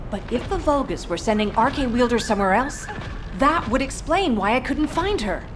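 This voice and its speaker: A woman's voice: fairly high pitch, lively intonation, normal speed, close to an everyday voice. A woman voicing a driven, solemn fighter and mother in a video game, intense and earnest. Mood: desperate, determined. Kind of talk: dramatic video game voice acting. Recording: clear background noise.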